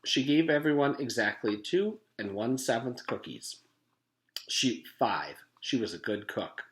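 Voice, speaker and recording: Lively man reading a storybook aloud. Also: medium pitch, a little slow. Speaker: teacher reading aloud to pupils. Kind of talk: storybook read-aloud narration.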